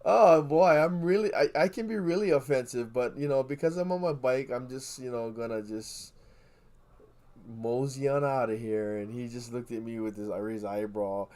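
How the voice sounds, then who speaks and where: A man from Hawaii talks casually as a podcast co-host, telling stories in an animated, profane way. His voice sits at an ordinary middle pitch. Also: moderate pace. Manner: swears freely, animated storytelling. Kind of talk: casual chat, storytelling.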